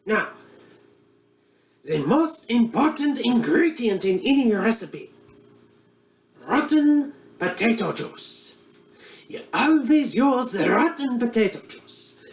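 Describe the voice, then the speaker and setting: A woman playing a bumbling, shady male Eastern European TV cook who speaks slow, broken English and gets suspiciously defensive. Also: mid-range voice, darkish tone, far from the mic. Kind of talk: comic cooking show parody. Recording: roomy, from the mic, faint ambience.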